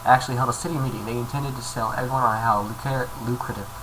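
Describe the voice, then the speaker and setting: A man's voice: medium pitch, steady tone, fast talker. A man casually reading a horror story aloud, stumbling over words and adding jokey asides. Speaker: creepypasta reader, video host. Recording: noisy amateur home recording.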